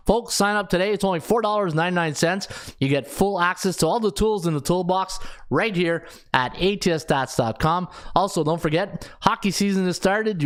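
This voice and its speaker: A man in his fifties hosting a sports betting podcast, breezy and jokey as he rattles off stats with plenty of ums and uhs. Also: a little high. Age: 50s. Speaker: podcast host and sports handicapper.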